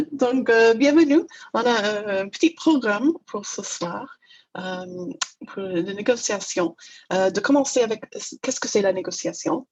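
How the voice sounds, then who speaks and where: Woman, English Canadian accent, second-language speaker, hesitant, many fillers, husky, dull lo-fi call audio. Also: mid-range voice, unhurried, darkish tone. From Toronto, Canada. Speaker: negotiation trainer. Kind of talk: webinar presentation.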